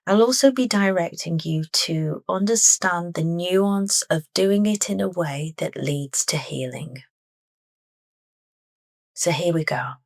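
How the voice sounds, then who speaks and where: A woman leading a guided meditation with soft, unhurried, deliberate phrasing. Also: fairly low pitch, creaky, no echo, studio quality.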